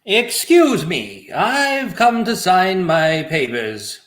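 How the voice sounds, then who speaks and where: A man playing a stiff, dour, halting newcomer in a table read of a stage comedy. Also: high voice, huge pitch swings, a bit bright, roomy sound, exaggerated voice.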